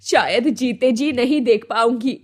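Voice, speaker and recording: A woman's voice: on the high side, measured pace. A woman voicing a sickly, loving old mother in a moral-story cartoon, plaintive and sorrowful. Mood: sad, tender.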